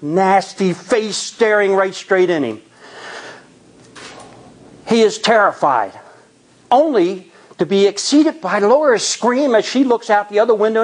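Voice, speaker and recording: Slow-paced man telling a spooky story. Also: high voice. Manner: theatrical, suspenseful, with deliberate dramatic pauses. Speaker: storyteller at a Toastmasters tall tales event. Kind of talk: dramatic live spooky storytelling.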